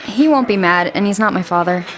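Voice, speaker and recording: Female actor for young village woman in fantasy audio drama: mid-pitched, frank, caring, guarded, crackling background noise. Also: a little fast, close to an everyday voice. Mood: concerned, brisk. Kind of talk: naturalistic audio drama dialogue.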